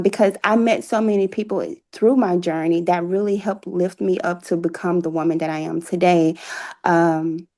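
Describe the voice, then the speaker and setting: A woman's voice: lowish voice, mellow. A woman speaking as an interview guest, telling her personal story in an earnest, reflective way. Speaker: interview guest, author and playwright.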